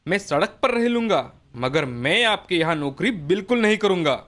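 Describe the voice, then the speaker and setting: Man as a highish-voiced, earnest village mango seller. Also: expressive, normal speed, fairly bright tone, faint music behind. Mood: defiant. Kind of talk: animated moral story dialogue.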